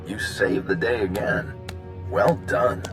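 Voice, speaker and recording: An actor playing a friendly, easygoing military ally in a science fiction video game. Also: male voice, on the low side, takes it slowly. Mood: friendly, pleased. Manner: casual, breezy wording. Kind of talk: video game cutscene acting. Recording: clear music under the voice.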